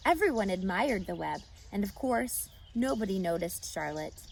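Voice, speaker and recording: A woman reading a children's story aloud expressively, pausing to explain and ask questions like a teacher. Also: mid-range voice, lively intonation, creaky, a little hiss or hum. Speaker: storybook reader.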